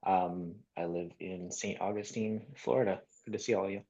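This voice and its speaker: A man with a fairly low voice introduces himself on a video call. He is easygoing and soft-spoken. The call audio is dull and lo-fi. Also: fairly even intonation, normal speed. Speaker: developer relations manager.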